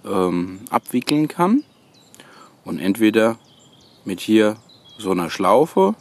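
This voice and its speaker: A man giving a hands-on how-to demonstration outdoors, in halting, hesitant phrases. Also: lowish voice. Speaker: host of a bushcraft tutorial channel. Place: outdoors on a woodland floor, birdsong behind.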